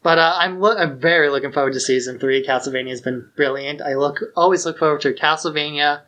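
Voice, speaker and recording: A man's voice: fairly high pitch, quick pace, bright, forceful tone. A man co-hosting a podcast in casual fan talk, blunt, opinionated and slangy in how he puts things. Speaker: podcast co-host.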